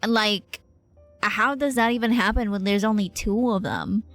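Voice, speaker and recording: A woman game streamer chatting casually live, thinking out loud, exclaiming and swearing freely. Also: medium pitch, a little slow. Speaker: streamer reacting to a role-playing game. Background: game music behind the voice.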